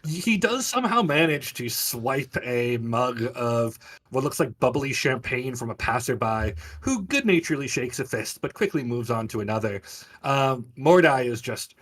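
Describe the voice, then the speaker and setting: Male game master, medium pitch, vivid descriptive tabletop narration. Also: expressive, moderate pace, fairly bright tone.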